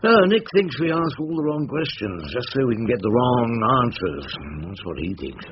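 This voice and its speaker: An actor playing a wry, stubborn, eccentric older English barrister in British radio drama, theatrical and musing, with old-fashioned turns of phrase. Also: male voice, mid-range voice, big pitch swings, moderate pace, close to an everyday voice. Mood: musing, genial. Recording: dull, slightly noisy radio recording.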